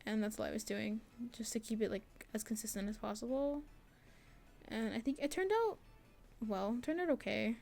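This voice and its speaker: Casting: young female student creator, slightly high voice, informal self-deprecating devlog narration with a giggly, chatty delivery. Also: moderate pace, gravelly, a little mellow, faint music behind. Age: young adult. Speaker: student game developer and artist. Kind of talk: casual devlog voice-over, rambling chat.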